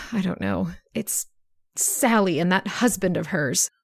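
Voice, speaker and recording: A woman acting a sly, genteel, scheming sister in a radio drama, speaking in a deliberate, confiding way. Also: fairly low pitch, lively intonation, vocal fry, no echo, clean studio sound, exaggerated voice. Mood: feigned distress. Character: sly, scheming sister feigning frail worry.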